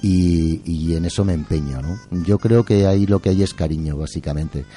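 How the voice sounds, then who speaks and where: A man's voice: sits low, normal speed, warm tone, faint music behind. A middle-aged man talks as an interview guest in an effusive, colloquial, rambling way. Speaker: interview guest, museum keeper and musician. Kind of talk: casual interview chat.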